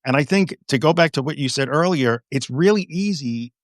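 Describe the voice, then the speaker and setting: A man's voice: a little high, lively intonation, moderate pace, dry recording. Frank, emphatic man in a dry room. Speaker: therapist and guest. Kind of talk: conversational interview.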